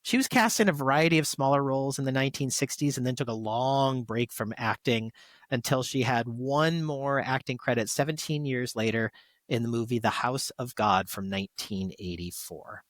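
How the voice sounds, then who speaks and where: A man's voice: mid-range voice, moderate pace, no room sound. A man hosting a podcast, reading out tributes in a conversational, stop-and-start way with casual asides. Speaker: podcast host.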